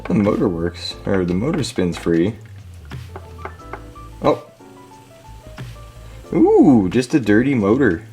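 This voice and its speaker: A man with a low-pitched voice hosts a casual hands-on repair vlog in a garage. He is wry and jokey, often addressing the objects in front of him as he goes. Music plays clearly behind his commentary. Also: wildly swooping pitch, slow, pauses often, mellow. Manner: jokey, sarcastic, talks to the objects. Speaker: host of a hobby repair video. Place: home garage.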